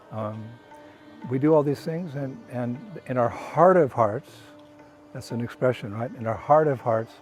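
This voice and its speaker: An older man, a traveling monk, speaking in a soft, halting way with many pauses and restarts, outdoors on a walking pilgrimage. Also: mid-range voice. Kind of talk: casual spoken reflection.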